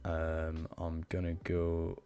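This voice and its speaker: Geordie lad streaming footy career mode, real low voice, swears a lot, bit of music in the back. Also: male voice, completely flat delivery, slow, warm tone, clear, resonant tone, lo-fi sound. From Newcastle, England. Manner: sweary, frustrated reactions to play. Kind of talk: live gaming commentary.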